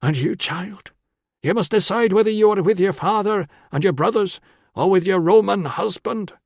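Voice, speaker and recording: A man playing a stern, anguished old father and chieftain in an audiobook, forceful and grave. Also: high-pitched, moderate pace, dry recording, clean studio sound, pitched above the performer's own voice. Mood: anguished, commanding.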